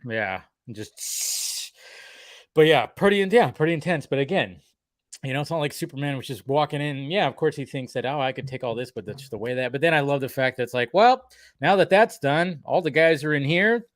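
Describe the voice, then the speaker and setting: A man co-hosting a casual chat, animatedly recapping a cartoon episode with quick acted-out quotes and casual swearing. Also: a little high, expressive, no room sound, studio quality. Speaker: podcast co-host.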